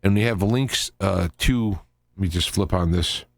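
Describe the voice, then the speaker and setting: A man hosting a live talk radio show, giving unscripted commentary in a rambling, halting way with stops, starts and digressions. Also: low voice, vocal fry, no echo, clean studio sound.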